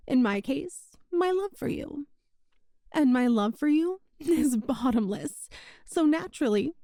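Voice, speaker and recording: A woman's voice: high voice, dark tone, dry recording, clean studio sound. A woman playing a sweetly possessive, clingy yandere witch in an ASMR roleplay, with a cutesy, coy, breezily sinister manner. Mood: adoring. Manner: cutesy, coy, breezily matter-of-fact about sinister things. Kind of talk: ASMR roleplay acting addressed to the listener.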